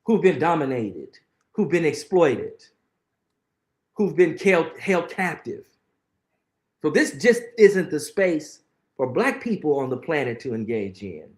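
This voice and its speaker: Man, middle-aged, higher-pitched, earnest, emphatic, repeats phrases, echoey room. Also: sing-song, slow pace, long pauses, a little mellow. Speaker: guest. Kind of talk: conversational interview, reflective storytelling.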